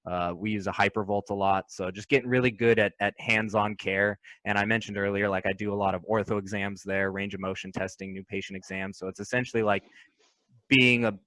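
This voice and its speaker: Low, flat-voiced young man, dry sound. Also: fairly quick, no room sound. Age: 20s. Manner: earnest, rambling, friendly. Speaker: podcast co-host. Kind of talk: casual chat.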